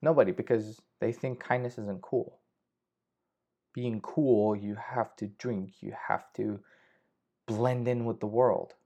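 A man's voice: on the low side, steady tone, takes it slowly, vocal fry, dark tone. A young man hosting a casual solo podcast, rambling with frequent swearing and restarts. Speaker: podcast host. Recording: slightly dull lo-fi audio.

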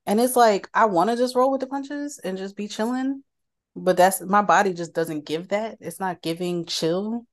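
Woman co-host with a cold, animated and quick to laugh, casual banter. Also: medium pitch. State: has a cold. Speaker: podcast co-host. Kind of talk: casual chat.

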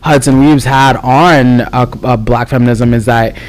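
Man of cuban heritage, animated casual talk, mid pitch, faint music behind. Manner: animated, fast asides, voice jumps up for quoted remarks. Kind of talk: casual chat.

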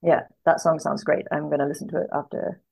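Female podcast guest, deep for a woman with heavy vocal fry, informal, works out her thoughts as she talks. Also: level tone, fairly quick, darkish tone. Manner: tentative, thinking aloud. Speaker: podcast guest, literary translator. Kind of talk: casual interview chat.

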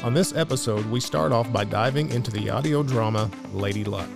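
Young male podcast host, gravelly, mid-pitched, scripted intro read over guitar music. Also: brisk. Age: young adult.

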